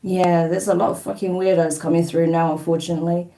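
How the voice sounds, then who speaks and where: A woman's voice: on the low side, level tone, quick pace, vocal fry, mellow, far from the mic. A woman with a slight New Zealand twang hosting a live card-reading stream, chatting casually and rambling as she reads viewer comments and bluntly dismisses trolls.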